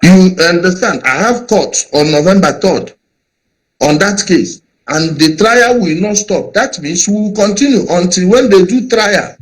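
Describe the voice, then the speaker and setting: A man with a slightly high voice moderates a call-in debate. He speaks urgently and cuts in often to steer the exchange. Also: expressive, a little slow, rough recording. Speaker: host.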